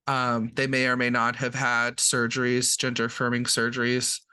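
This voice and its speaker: A man's voice: medium pitch, completely flat delivery, normal speed, sharp tone, smooth, no echo. A man co-hosting a podcast, explaining terms in casual chat with frequent ums and restarts.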